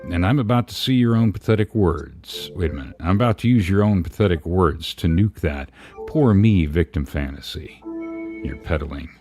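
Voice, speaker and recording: Extremely deep-voiced man, sarcastic dramatic reading. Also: lively intonation, moderate pace, a little mellow, soft background music. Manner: sarcastic, theatrical, chuckling. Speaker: live stream commentator. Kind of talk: dramatic, mocking reading of a written open letter.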